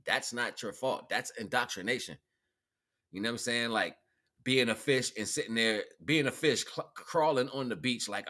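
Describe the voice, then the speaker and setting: Bright-voiced American man, informal spiritual monologue. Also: deep voice. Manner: animated, colloquial, preaching with repeated phrases. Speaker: livestream host.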